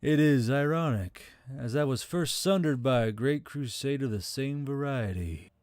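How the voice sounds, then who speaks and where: A man's voice: mid-range voice, moderate pace, darkish tone, smooth, no echo, studio quality, lightly acted. A man voicing an ancient male spirit in a talking necklace, formal, archaic and wry, in an audio story. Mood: wry, weary. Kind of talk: audio fiction character acting. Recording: background music under the voice.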